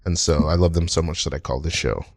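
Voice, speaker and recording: A man hosting a podcast in casual conversation, speaking in a halting way and often correcting himself as he goes. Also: rumbling low, fairly even intonation, no room sound, studio quality. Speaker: podcast host.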